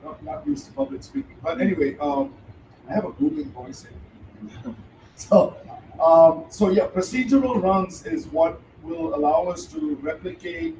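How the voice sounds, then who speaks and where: Halting middle-aged man, a founder, addressing a room; heard from a distance with room echo and light background hiss. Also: sits high, big pitch swings, mellow. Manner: hesitant, many fillers, apologetic. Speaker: founder of the treadmill venue. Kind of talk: speaking to a live audience. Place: event room with audience. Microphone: handheld mic. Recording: roomy hall, voice from a distance, faint noise.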